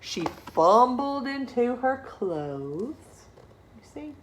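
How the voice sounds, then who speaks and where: A woman's voice: fairly high pitch, very animated, slow, slight background noise, rough recording. A woman storyteller reading a picture book expressively to children, engaging listeners with rhetorical questions and asides. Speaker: read-aloud storyteller.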